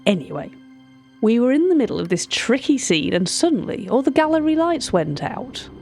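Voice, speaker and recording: A woman's voice: on the high side, sing-song, normal speed, darkish tone, faint music behind. A woman playing an anxious, wordy narrator confiding strange events in an audio drama monologue. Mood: awed, unsettled. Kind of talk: audio drama monologue, recorded-tape account.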